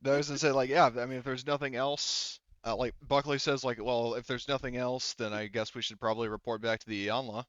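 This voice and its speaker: A man's voice: mid-range voice, level tone, a little fast, hardly any pauses, a bit bright, smooth, no room sound. Male game master, casual roleplay narration, meandering delivery, muffled lo-fi sound.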